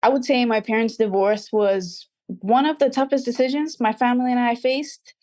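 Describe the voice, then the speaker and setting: A woman's voice: a little high, steady tone, moderate pace, darkish tone. Young woman. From Dubai. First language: Arabic. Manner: earnest, reflective, slightly formal. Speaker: guest, recording artist. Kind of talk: interview answers.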